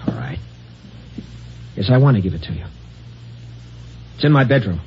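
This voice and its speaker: A man's voice: on the low side, lively intonation, moderate pace, music in the background, lightly acted. An actor playing a nervous, desperate man of middle age who stammers and breaks into panic, in 1950s radio style. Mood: bitter, desperate. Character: frightened, desperate middle-aged office man. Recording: dull old radio broadcast with hiss.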